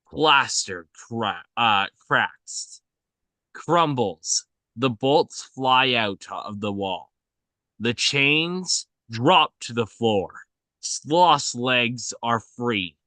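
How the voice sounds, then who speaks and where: A man reading out screenplay action at a table read in a halting way, often stumbling and correcting himself. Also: medium pitch, pushed and bright, no echo. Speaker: reader of stage directions. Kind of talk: scripted narration at a table read.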